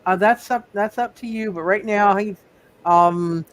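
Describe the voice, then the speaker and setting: A man refereeing a casual tabletop roleplay, halting and often repeating his words and phrases. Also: high voice. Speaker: game master of a live superhero tabletop roleplay. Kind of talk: casual, improvised game refereeing.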